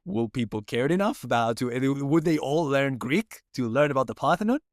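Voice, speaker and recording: A young man hosting a casual podcast, with a voice of medium pitch. He muses aloud and trails off into unsure asides. The recording is dry and close. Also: lively intonation, fairly quick, dry recording. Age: young adult. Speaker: podcast host.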